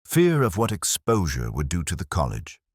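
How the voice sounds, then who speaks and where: Very deep-voiced brooding detective played by a man. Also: huge pitch swings, slightly rough, dry recording, clean studio sound, heavily acted. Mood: somber, reflective. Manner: literary, measured, solemn. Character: brooding, weary male English police inspector, literary and melancholy. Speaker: narrator of a detective audio drama. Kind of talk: scripted first-person mystery narration.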